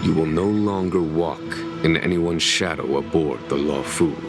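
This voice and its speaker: A deep-voiced, calm general from a video game, weighs each formal phrase slowly. He sounds weary and melancholy, courtly in his old-fashioned wording. Loud music plays behind him. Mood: regretful, firm. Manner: measured, formal, archaic phrasing.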